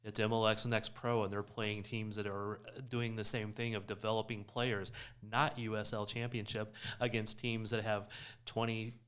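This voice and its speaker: A man's voice: on the low side, little pitch movement, moderate pace, few pauses, a bit bright, clear, resonant tone. A man co-hosting a soccer podcast in casual discussion, explaining his points with emphasis. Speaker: sports podcast co-host. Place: recorded in person in a shared room.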